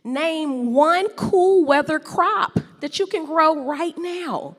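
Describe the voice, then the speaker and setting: A woman from St. Louis in her forties, giving a loud, playful talk that draws in the audience. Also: sits high, slow pace. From St. Louis, USA. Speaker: guest speaker. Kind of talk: lively public talk with audience games. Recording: echoey hall sound.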